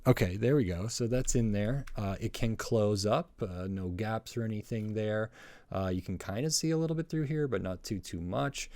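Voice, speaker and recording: A middle-aged man giving a casual hands-on review, speaking in a halting, hesitant way with frequent pauses. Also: lowish voice, darkish tone, no room sound. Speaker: toy review channel host.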